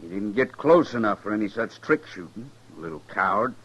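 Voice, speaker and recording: An actor playing a proud, vengeful older rancher with a rural Western accent, gruff and defiant, in 1950s radio western style. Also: male voice, on the low side, expressive, a little fast, a bit bright. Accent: rural American Western. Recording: dull vintage 1950s radio recording.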